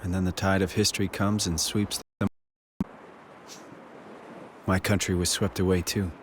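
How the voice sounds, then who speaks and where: Fantasy game mentor figure, male actor: deep, consoling, sombre and wise, with faint noise behind. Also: little pitch movement, moderate pace, lightly acted. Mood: sombre consolation. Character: wise, consoling, world-weary man who lost his country.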